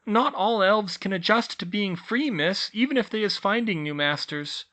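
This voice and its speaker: A reader voicing Tilly, an older female house-elf teacher who squeaks in broken grammar. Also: expressive, normal speed, bright, forceful tone. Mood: earnest.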